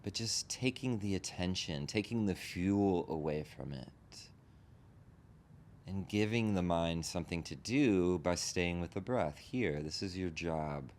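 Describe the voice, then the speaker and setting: A man leading a guided meditation as a teacher, gently instructing in short, spaced phrases. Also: lively intonation, unhurried. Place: group meditation session in a room. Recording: background rumble and noise.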